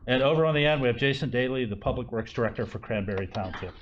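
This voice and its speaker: A soft-spoken man introducing speakers as moderator of a conference session, halting often with fillers. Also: mid-range voice, dull audio, heavy background noise. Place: conference room.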